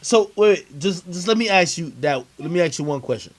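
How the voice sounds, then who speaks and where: A high-pitched man hosting a livestream debate. He talks in loose, profane street slang. He presses his points insistently and repeats them. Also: expressive, fairly quick. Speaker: host of a livestream interview. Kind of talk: casual heated argument on a stream.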